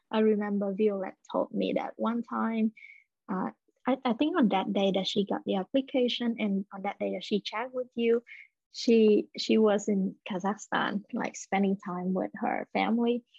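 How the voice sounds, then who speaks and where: A woman's voice: medium pitch, fairly even intonation, normal speed, vocal fry, darkish tone, husky, no echo. A woman hosting a podcast, asking her guest questions in a friendly, casual way. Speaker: podcast host. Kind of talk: interviewing a guest. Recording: dull dry lo-fi mic.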